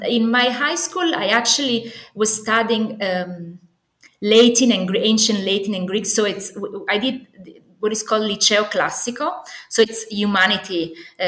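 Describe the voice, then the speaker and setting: The room rings around this woman's mid-pitched voice. She has an Italian accent and talks conversationally as an interview guest. She is enthusiastic, yet keeps pausing and rephrasing as she goes. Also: fairly bright tone. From Naples, Italy. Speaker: podcast guest, assistant professor. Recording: echoey, roomy sound.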